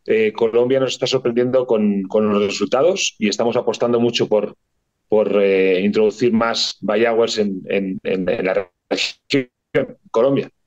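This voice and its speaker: A man's voice: medium pitch, dull audio. Spanish man explaining with hesitant fillers. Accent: Spanish from Spain. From Spain, Barcelona. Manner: fluent explaining with frequent hesitations and repeated words. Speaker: startup founder and CEO, guest. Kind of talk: interview answers.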